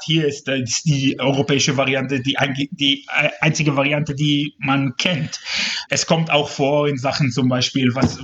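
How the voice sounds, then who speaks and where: A man's voice: medium pitch, fairly bright tone, highs cut off. A man from Israel, a native Hebrew speaker with an Israeli accent, explaining earnestly as a guest in a casual podcast conversation, searching for words at times. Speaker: interview guest, journalist.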